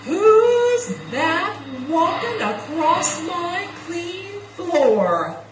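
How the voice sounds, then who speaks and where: A woman voicing a stern, angry, house-proud mother in a puppet show, scolding and threatening with altered pitch. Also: sing-song, extremely slow, recorded from a distance, full character voice. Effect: digitally altered pitch. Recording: roomy sound with faint noise.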